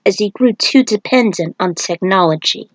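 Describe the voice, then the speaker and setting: A woman's voice: medium pitch, moderate pace, no room sound, natural voice. A woman voicing a solemn male thunder god who speaks in a grave, formal way, as in a storybook. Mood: solemn, instructive. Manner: grave, formal, stately phrasing.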